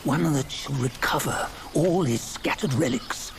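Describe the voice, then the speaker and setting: Slow-spoken solemn old sage. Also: male voice, mid-range voice, vocal fry, slight background noise. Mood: weary, hopeful. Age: older. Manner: slow, measured, grave. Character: weary old male sage telling of a legend. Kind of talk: solemn scripted storytelling in a fantasy game.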